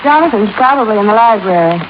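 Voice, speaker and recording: A woman's voice: mid-range voice, expressive, fast talker, close to an everyday voice. An actress playing a polite, placating wife of a wealthy man in a radio drama, with a composed, conciliatory manner. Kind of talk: 1950s radio drama acting. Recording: muffled, hissy old radio recording.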